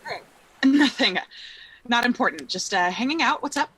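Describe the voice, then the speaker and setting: A woman's voice: a little high, expressive, moderate pace, a bit bright, slightly rough, no room sound, soft background music, rough recording. A young adult woman playing a dreamy, curious, playful young woman with a hesitant, wry manner in a live play reading. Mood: light, evasive.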